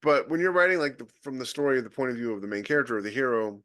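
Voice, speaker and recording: A man's voice: medium pitch, lively intonation, rapid-fire, fairly bright tone, no room sound. Emphatic male co-host, mid-range voice, dry recording. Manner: opinionated and emphatic. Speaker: podcast co-host. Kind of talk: casual panel discussion.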